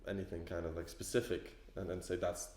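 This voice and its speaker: A man's voice: deep voice, flat delivery, a little fast, darkish tone, roomy sound, a little hiss or hum. A man from Poland, raised in England, answering interview questions hesitantly with many repeated words and fillers. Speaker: event participant. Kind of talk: casual on-camera interview.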